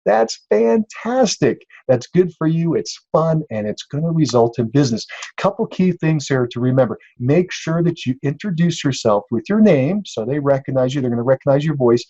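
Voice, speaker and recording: A man's voice: a little high, animated, normal speed, warm tone, no room sound, highs cut off. A man giving a casual, encouraging instructional talk as a sales coach, speaking conversationally and checking in with listeners. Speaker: real estate sales coach and podcast host.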